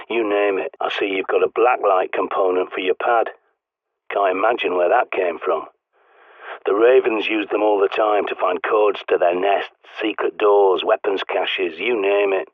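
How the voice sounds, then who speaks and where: Gruff survivalist over tinny radio. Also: male voice, on the low side, no room sound. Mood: dry, sardonic. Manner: blunt, commanding, sardonic. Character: gruff, bossy middle-aged British survivalist guiding by radio. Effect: radio transmission filter. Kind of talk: video game voice lines. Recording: tinny, band-limited radio with static.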